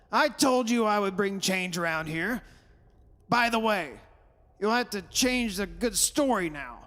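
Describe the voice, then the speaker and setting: Man playing scoffing theatrical father, radio melodrama, slow delivery, long hall reverb. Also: sits high, expressive, fairly bright tone, exaggerated voice. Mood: scornful. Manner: theatrical, blustering. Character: stubborn, scoffing father who mocks an old legend. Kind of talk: melodramatic radio horror acting.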